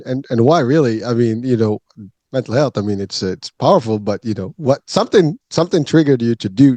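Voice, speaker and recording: A man's voice: mid-range voice, warm tone, no echo. A man hosting a wellness interview show, speaking in rambling, hesitant runs with many fillers. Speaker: podcast host.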